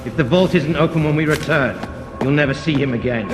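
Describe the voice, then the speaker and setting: An actor playing a controlled, menacing conspirator in a game, commanding and measured in his threats. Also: a little high, close to an everyday voice. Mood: coolly menacing. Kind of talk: cinematic game voice acting. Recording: echoey hall with loud music.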